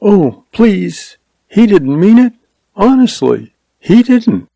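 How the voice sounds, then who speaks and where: Earnest, frightened young teacher voiced by a man, high and quivering, dark gravelly undertone. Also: expressive, a little slow, no echo, heavily acted. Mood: pleading. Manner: pleading, quivering.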